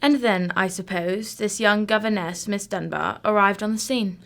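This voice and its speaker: A woman's voice: medium pitch, normal speed, vocal fry, fairly bright tone. A woman acting a shrewd, unflappable female detective in a radio drama, formal, composed and condescending. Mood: brisk, probing.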